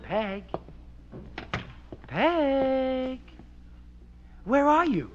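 High-pitched hapless husband. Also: male voice, big pitch swings, drawn-out words, soft background music, exaggerated voice. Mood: sheepish. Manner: hesitant, plain-spoken, easily flustered. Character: hapless, gullible, well-meaning husband and father. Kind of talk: 1940s radio comedy acting. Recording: dull, noisy old radio recording.

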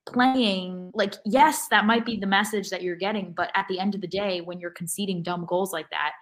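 A woman co-hosting a soccer fan podcast, picking apart play in a casual, halting and exasperated way. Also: mid-range voice, a bit bright. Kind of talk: casual sports analysis.

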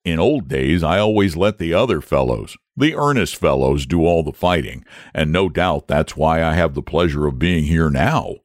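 A man voicing a lazy, gentle and cowardly male dragon who speaks in a languid, solemn drawl in a storybook. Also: a bass voice, animated, moderate pace, hardly any pauses, no echo, clean studio sound, pitched below the performer's own voice.

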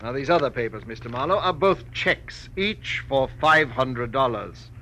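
A man's voice: mid-range voice, animated, moderate pace, pushed and bright, poor audio. An actor playing a precise, deferential secretary in his forties, very polite and formal, in a 1940s radio drama. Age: 40s. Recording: faintly hissy old radio recording.

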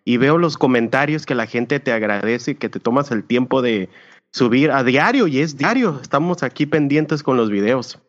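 A man's voice: medium pitch, animated, normal speed. A man hosting a podcast, introducing his guest and asking questions in an upbeat, formal way. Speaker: podcast host. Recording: dull lo-fi sound.

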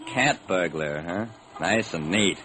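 An actor playing a brisk, determined hard-boiled male private detective, narrating and acting in a classic radio crime drama. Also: low-pitched, animated, background noise. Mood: wry, brisk. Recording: old, slightly rough radio recording.

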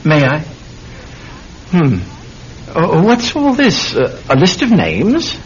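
Animated, inquisitive young nephew, old radio. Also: male voice, slow pace, heavily acted. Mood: curious. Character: eager, inquisitive young nephew, amateur sleuth. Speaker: actor in a radio play. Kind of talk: classic radio drama acting. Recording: dull, rumbling, noisy old radio recording.

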